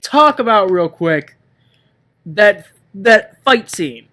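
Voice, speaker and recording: A man's voice: high voice, animated, slow, sharp tone. A man co-hosting a casual movie-review chat, talking in an exasperated, ranting, sweary way. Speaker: podcast co-host.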